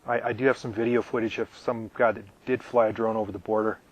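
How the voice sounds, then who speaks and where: A man hosting a live question-and-answer stream, halting and full of ums, losing his voice and clearing his throat often, talking from his RV out in the desert. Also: fairly low pitch, little pitch movement, rough recording. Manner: halting, with many ums and repeated words. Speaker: channel host answering viewer questions. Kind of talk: live casual Q&A.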